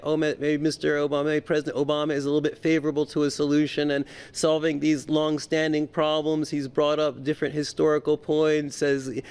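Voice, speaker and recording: A man's voice: on the high side, clear, resonant tone. A man giving unscripted political commentary as an interview guest, stammering and often repeating his words as he goes.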